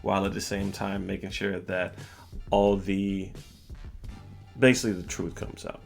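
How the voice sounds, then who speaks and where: A man hosting a podcast, telling a personal story in a casual, measured way with music playing behind the voice. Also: sits low, completely flat delivery, gravelly. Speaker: podcast host. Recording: music bed under the voice. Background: background music.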